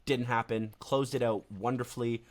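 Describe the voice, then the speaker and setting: A man's voice: medium pitch, moderate pace. Laid-back man telling a hockey story. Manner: relaxed storytelling with frequent fillers. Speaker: podcast co-host. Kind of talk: casual sports chat.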